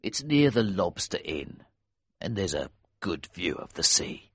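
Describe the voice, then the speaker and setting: A man acts out a gruff older innkeeper who runs with smugglers in an audiobook tale. The voice is very deep and husky, slow and deliberate. He is steady and fatherly, keeping his words hushed, and it is recorded close and dry. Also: no room sound, heavily acted. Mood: gentle, fatherly. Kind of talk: audiobook character reading.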